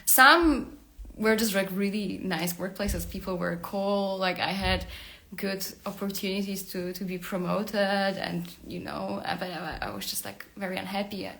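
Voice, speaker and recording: Woman in her thirties, mid pitch, creaky edges, rambling live-stream chat into a phone. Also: measured pace, pushed and bright, slight background noise, poor audio. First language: Polish. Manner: chatty and rambling, with many fillers and restarts. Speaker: career coach hosting a live stream. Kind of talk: casual live-stream monologue.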